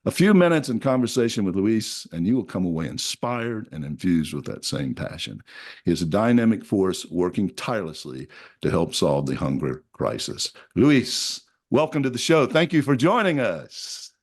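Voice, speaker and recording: A man's voice: fairly low pitch, sing-song, normal speed. A man hosting an interview show, encouraging his guest with short affirmations and eager follow-up questions. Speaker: podcast host.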